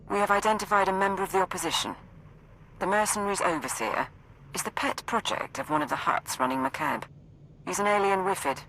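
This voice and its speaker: A woman playing a composed military support officer in a video game, briefing in a brisk, matter-of-fact way. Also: fairly low pitch, fairly bright tone, slight background noise, low-quality recording. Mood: businesslike. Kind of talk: video game dialogue over comms.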